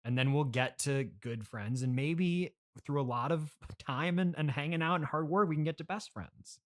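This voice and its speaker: A man hosting a casual comedy interview, speaking in a halting, hesitant way as he gently reasons with his guest. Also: on the high side. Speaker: podcast host.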